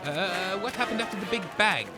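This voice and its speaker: A man's voice: high-pitched, lively intonation, brisk, loud music behind the voice. A British man playing a grumpy, cynical mutant radio co-host and pretentious poet, sarcastic and sweary, in comedy commentary. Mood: dry, sardonic. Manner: grumbling, sarcastic, scornful, sweary. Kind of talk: comedy audio drama, mock live event commentary.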